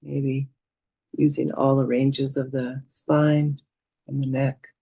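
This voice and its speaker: A woman leading a guided meditation as a teacher, speaking in a gentle, soothing manner with unhurried pauses. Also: rumbling low, flat delivery, creaky, husky, dry recording.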